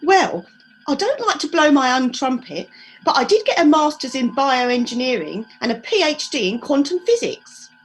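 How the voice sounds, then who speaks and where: A woman's voice: high-pitched, big pitch swings, moderate pace, low-quality recording. A woman playing a modest, fussing middle-aged mother in an amateur comedy radio play.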